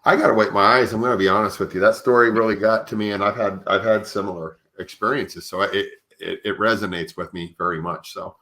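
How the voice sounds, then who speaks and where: A man's voice: on the low side, fairly even intonation, a little fast, few pauses, pushed and bright. A man hosting a conversational podcast interview, speaking informally and often tagging his phrases with "you know". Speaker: podcast host.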